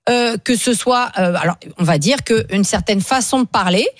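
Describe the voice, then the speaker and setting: Woman, radio panelist, animated, opinionated, dry studio sound. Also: a little high, normal speed, dry recording. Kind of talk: radio debate.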